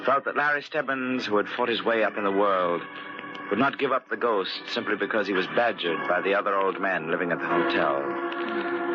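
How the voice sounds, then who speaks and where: Low-voiced ominous radio mystery narrator. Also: male voice. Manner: measured, dramatic. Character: ominous male storyteller of a mystery series. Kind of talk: scripted old-time radio narration. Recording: muffled old-time radio recording. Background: music.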